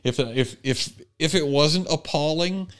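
A middle-aged or older man chatting casually as a podcast co-host, halting and restarting his phrases as he goes. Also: on the high side, fairly bright tone. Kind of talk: casual conversational podcast talk.

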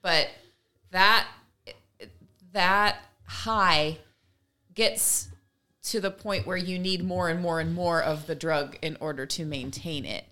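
A woman with a slightly low voice. She comments conversationally as a podcast co-host, building explanations out of examples and imagined quotes. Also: slow pace, long pauses, pushed and bright.

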